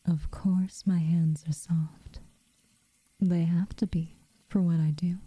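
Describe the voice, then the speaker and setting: A woman playing an aloof, teasing but secretly caring witch in a soft, breathy, intimate ASMR roleplay. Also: lowish voice, fairly even intonation, dry recording. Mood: gently instructing. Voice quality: soft, breathy, often near a whisper. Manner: unhurried, hushed, dryly teasing.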